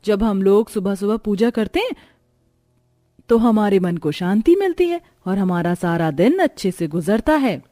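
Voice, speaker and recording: A woman playing a kind, dutiful poor mother and housemaid in a cartoon story, speaking gently and instructively. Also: medium pitch, expressive, a little slow, no room sound, studio quality, close to an everyday voice. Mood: patient, gentle.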